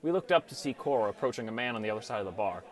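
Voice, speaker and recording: A man's voice: mid-range voice, animated, rapid-fire, crowd noise. A man reading a scripted first-person story as an audiobook narrator.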